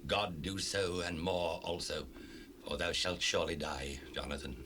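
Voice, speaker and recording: A man's voice: low voice, steady tone, a little slow, pushed and bright. An actor playing a grave, commanding warrior king in a dramatized Bible recording, speaking with regal declamation. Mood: stern. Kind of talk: dramatized scripture acting. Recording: noisy cassette rip, ambient sound.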